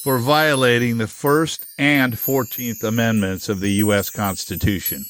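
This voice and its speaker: A man's voice: medium pitch, steady tone, a little slow, a bit bright, close to an everyday voice. A man voicing an earnest banned book in a stage puppet musical.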